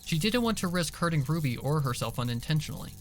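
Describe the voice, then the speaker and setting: Higher-pitched man narrating a story. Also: lively intonation, quick pace, faint music behind. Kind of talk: dramatic scripted story narration.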